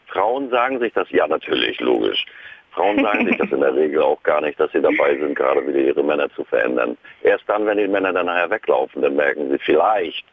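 Ein Mann am Telefon, neckend, mit tiefer, etwas heiserer Stimme. Die Leitung klingt blechern und eng. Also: male voice, rumbling low, lively intonation, a little fast, vocal fry, husky, no echo. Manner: teasing, wry. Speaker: radio caller. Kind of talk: phone-in chat. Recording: tinny phone line.

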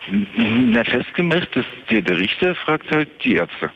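A man calling a radio show, talking casually in halting, broken-up phrases. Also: high voice, wildly swooping pitch, creaky. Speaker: radio caller. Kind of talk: casual chat. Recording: noisy dull phone call.

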